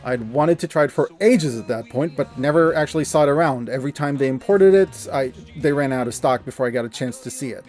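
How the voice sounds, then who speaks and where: Male, 30s, mid-pitched. Expressive, sincere scripted vlog narration over background music. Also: fast, few pauses. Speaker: video creator and narrator. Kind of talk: scripted personal review narration. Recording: music under the voice.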